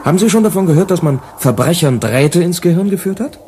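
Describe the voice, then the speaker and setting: Deferential company aide for a radio play: anxious, hesitant, lively intonation. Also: male voice, high-pitched, fast, slight background noise. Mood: worried. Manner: hesitant, cautious, eager to please. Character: anxious, deferential male assistant to the boss. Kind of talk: German radio play acting.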